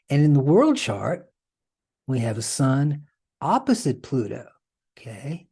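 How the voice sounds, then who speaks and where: A man's voice: a little high, mellow, husky. A man hosting an informal explanatory presentation, conversational and often checking in with the listener through rhetorical questions. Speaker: host and presenter.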